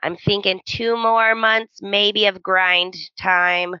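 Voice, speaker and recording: A woman in her thirties tells stories in a bright, forceful voice, laughing often between them. The sound is dry and a bit dull, with a lo-fi feel. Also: medium pitch, fairly even intonation, slow, smooth, no echo. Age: 30s. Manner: chatty storyteller, laughs often. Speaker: podcast co-host. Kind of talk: casual chat.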